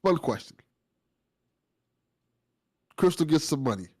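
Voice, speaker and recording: A man's voice: fairly high pitch, expressive, takes it slowly. A man hosting a casual panel chat and posing questions to the guests. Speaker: panel host.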